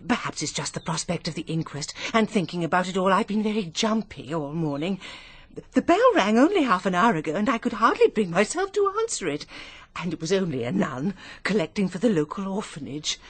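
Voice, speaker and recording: An actress playing a nervous, chatty, genteel middle-aged English spinster companion in a radio drama, with a prim, effusive manner. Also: female voice, lowish voice, very animated, a little fast, slightly rough. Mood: nervous, relieved.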